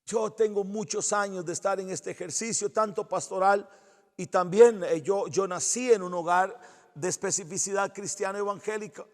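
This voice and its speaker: A man's voice: sits high, moderate pace, in a large hall. A male pastor preaching a sermon in earnest, exhorting tones, repeating phrases for emphasis.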